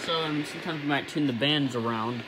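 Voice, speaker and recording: A man's voice: on the high side, fast talker. A man presenting a casual hands-on hobby video, rambling and thinking aloud as he works, recorded on a phone. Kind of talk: casual hands-on radio demonstration. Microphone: phone camera at varying distance. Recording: noisy phone recording with radio static. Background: shortwave radio noise and static.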